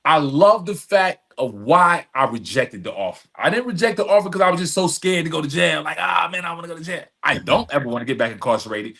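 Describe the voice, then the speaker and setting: A man's voice: on the high side, expressive, brisk, vocal fry, hardly any pauses, fairly bright tone. A man speaking as a podcast guest, telling personal stories candidly and emphatically, with street slang and repeated phrases. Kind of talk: candid long-form conversation, personal storytelling.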